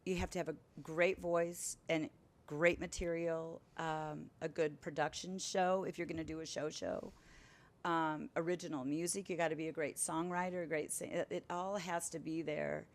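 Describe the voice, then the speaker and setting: A middle-aged woman sits in as a guest and talks in a casual, emphatic way, with a lively push behind her points. Her voice sits a little low for a woman. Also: moderate pace. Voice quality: powerful voice. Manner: animated, emphatic storytelling. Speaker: singer and entertainer, guest. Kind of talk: casual interview chat.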